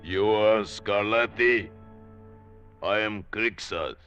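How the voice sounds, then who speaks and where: An actor playing a huge scarred gladiator slave in a radio drama, grim and menacing, speaking blunt broken English. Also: male voice, lowish voice, takes it slowly, fairly bright tone, muffled recording, soft background music. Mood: grim menace. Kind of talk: old-time radio drama acting.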